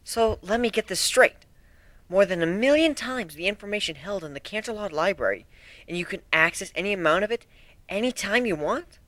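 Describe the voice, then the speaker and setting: A man's voice: sits high, animated, a little fast, pushed and bright, no room sound, voice pushed up. A man voicing a bookish, curious young female pony in an amateur dramatic reading, questioning and a little hesitant. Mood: curious, amazed.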